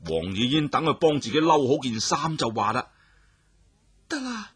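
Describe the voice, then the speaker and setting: A man telling a traditional story with expressive, animated narration. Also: medium pitch, a little fast, husky.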